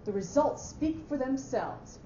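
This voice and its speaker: A woman's voice: high voice, expressive, measured pace, warm tone. A woman playing a brash, haughty and indignant office efficiency expert in a staged dramatic reading of a short story. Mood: haughty disapproval. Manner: haughty, sarcastic, emphatic. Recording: roomy, muffled live hall recording with hiss.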